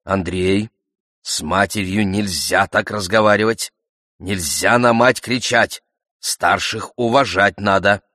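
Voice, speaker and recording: A solemn father in an audiobook, read by a man. The voice is low and mild, strict but withdrawn, admonishing in measured phrases, recorded dry. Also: sing-song, breathy edge, dry recording, heavily acted. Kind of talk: audiobook character voice.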